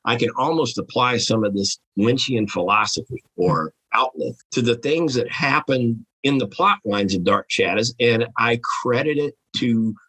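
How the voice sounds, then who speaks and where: A man with a husky voice talking as a guest author on a podcast, thinking aloud in a halting, musing way. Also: mid-range voice, pushed and bright, low-quality recording. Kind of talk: conversational podcast discussion.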